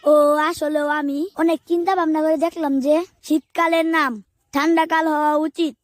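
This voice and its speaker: A woman's voice: sits high, flat delivery, normal speed, no echo, studio quality, natural voice. A woman voicing a small, earnest, agreeable child in a comic cartoon.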